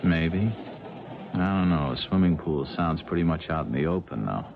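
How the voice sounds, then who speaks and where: An actor playing an uneasy, reluctant ex-convict hired killer in a 1970s radio drama, casual and hesitant. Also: male voice, extremely low pitch, animated, moderate pace, vocal fry, heavily acted. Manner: casual, hesitant, plain-spoken. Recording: muffled, noisy old radio recording.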